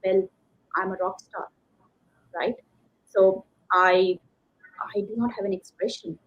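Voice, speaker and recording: A woman's voice: mid-range voice, fairly even intonation, slow. A woman giving emphatic, conversational motivational advice as a coach being interviewed. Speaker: career coach, interview guest. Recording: slightly dull lo-fi call audio.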